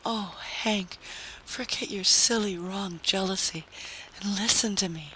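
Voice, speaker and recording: A man voicing a tender, dreamy wife who pleads in a sweet, gentle, unhurried way in a vintage radio drama. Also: high voice, pitched above the performer's own voice. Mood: gently pleading. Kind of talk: 1950s science fiction radio drama. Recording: noisy vintage radio recording.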